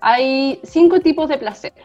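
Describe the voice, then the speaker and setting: A woman's voice: high voice, unhurried, darkish tone. A woman with a Chilean accent co-hosting a podcast, explaining things in a casual, chatty way. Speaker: podcast co-host. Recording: dull lo-fi audio, music underneath.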